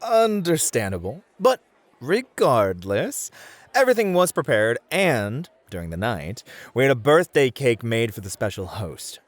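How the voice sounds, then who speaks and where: An actor playing a beefy, experienced club host, a flirty and politely teasing charmer in a scripted audio roleplay. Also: male voice, mid-range voice, big pitch swings, a little slow, no room sound. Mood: composed, explaining.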